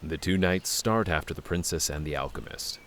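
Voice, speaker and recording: A man's voice: a bass voice, moderate pace, a bit bright. A man narrating a scripted fantasy story for an audio drama.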